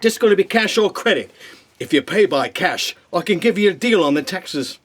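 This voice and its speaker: An actor playing a thuggish bloodsucker creature who collects debts, talking in slangy, menacing tough talk in a comic audio drama. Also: male voice, sits high, animated, moderate pace, husky. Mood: insistent haggling.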